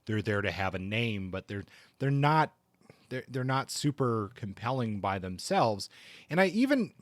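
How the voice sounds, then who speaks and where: A man's voice: on the low side, sing-song, a little slow, dry recording. A man co-hosting a conversational book discussion, feeling his way through his points with hedged, exploratory phrasing. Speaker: podcast co-host.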